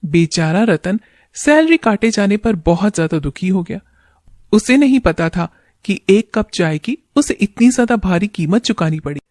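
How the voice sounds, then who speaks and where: A female narrator reads a scripted moral tale. Her voice is medium in pitch. The recording is dry, with no audible echo. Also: lively intonation, moderate pace, darkish tone.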